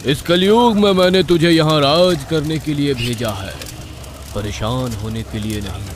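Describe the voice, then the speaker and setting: Man acting a demon king, imperious, scornful, commanding, slow, melodramatic animated story, background music. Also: on the high side, slight background noise, close to an everyday voice.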